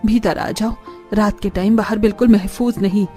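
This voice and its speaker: A woman's voice: mid-range voice, fairly even intonation, normal speed, dark tone, faint music behind, lightly acted. A woman voicing a caring, anxious middle-aged mother-in-law in a cartoon story, with a motherly, fussing manner. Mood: fearful warning. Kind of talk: Hindi cartoon story dialogue.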